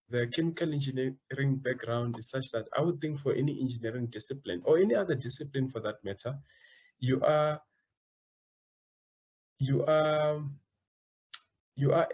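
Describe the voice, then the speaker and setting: A man's voice: medium pitch, a little mellow, husky, highs cut off. A young man answering interview questions about his path into business, earnest and often repeating words as he searches for phrasing. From South Africa. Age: young adult. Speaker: guest, student entrepreneur.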